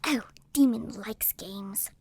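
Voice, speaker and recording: Woman doing creepy possessed niece, radio play, slow and high, playful, noisy recording. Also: gravelly, darkish tone. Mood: eerie delight. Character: demon-possessed niece, eerie, playful and detached. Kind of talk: amateur radio play acting.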